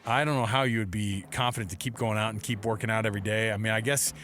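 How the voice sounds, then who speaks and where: An American man co-hosting a sports talk show, casual and slangy, joking and excitable as he gives his commentary. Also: fairly low pitch, fast talker, soft background music. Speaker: sports radio co-host. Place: radio studio.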